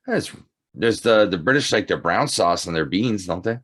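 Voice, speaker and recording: A man with a low-pitched voice hosts a casual podcast chat. He talks in a jokey, informal way and often repeats a phrase for emphasis. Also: lively intonation, normal speed, fairly bright tone. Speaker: podcast host.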